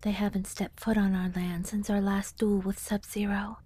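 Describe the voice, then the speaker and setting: A woman playing a worried, loving wife and mother in an audio drama, hushed and breathy, gently earnest. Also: mid-range voice, flat delivery, normal speed, dry recording, close to an everyday voice. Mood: worried, pleading. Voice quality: breathy, whispery.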